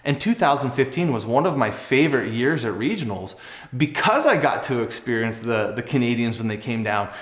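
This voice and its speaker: A man's voice: mid-range voice, very animated, brisk, roomy sound. A young man telling a personal story casually to camera, earnest, conversational and reflective. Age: young adult. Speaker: athlete and video host.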